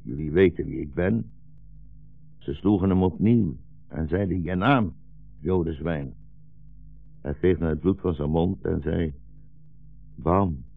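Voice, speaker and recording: Deep, gravelly old rabbi. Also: male voice, faint music behind, full character voice. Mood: sombre, reminiscing. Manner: slow, solemn, storytelling. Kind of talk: 1960s radio drama acting. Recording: dull, roomy 1960s radio recording.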